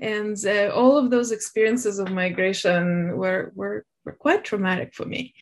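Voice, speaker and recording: A woman from Ukraine talks as a guest author in a relaxed interview. Her voice sits at a middle pitch. She is thoughtful and reflective, often lightened by a small laugh. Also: a little slow. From Ukraine, later Russia and Israel.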